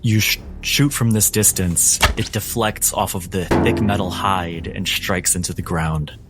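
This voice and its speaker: Low-voiced man narrating dramatically over music. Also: steady tone, unhurried. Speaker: game master. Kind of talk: dramatic tabletop game narration.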